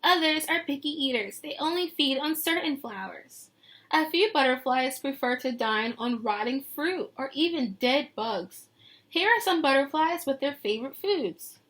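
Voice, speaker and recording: A woman teacher reading a picture book aloud to young children, expressive and sing-song, pausing to put questions to them. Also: high-pitched. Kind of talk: read-aloud lesson with questions to young listeners.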